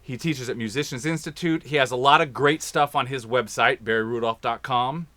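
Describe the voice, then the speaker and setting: A man's voice: mid-range voice, brisk, pushed and bright, dry recording. An upbeat, joking man from Kansas hosting a casual chat in a recording studio. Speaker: host.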